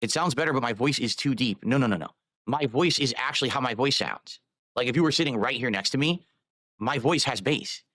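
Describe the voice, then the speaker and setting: A man's voice: mid-range voice, a little fast, fairly bright tone, no room sound. A man live-streaming, rambling while he fiddles with his microphone and audio settings.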